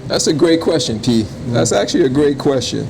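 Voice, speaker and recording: A man's voice: a little high, animated, fairly quick, gravelly, noisy background. A man, talking casually and emphatically in slang as a restaurant owner being interviewed. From born in Jamaica, raised in Brooklyn from age five.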